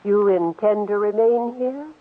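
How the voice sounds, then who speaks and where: A woman playing a cold, scheming, middle-aged older sister in a 1940s radio drama, speaking in a clipped, measured, commanding way. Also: medium pitch, phone-quality sound, natural voice. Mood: probing, controlled. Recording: band-limited old radio recording.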